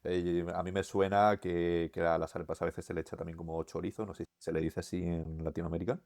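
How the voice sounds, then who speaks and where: A man with an accent from Spain, co-hosting a podcast and asking questions in a measured, hesitant way. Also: low-pitched, flat delivery, darkish tone, no room sound, clean studio sound. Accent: Spain Spanish. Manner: measured, hesitant, lots of "eh" fillers. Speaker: co-host. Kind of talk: casual podcast conversation.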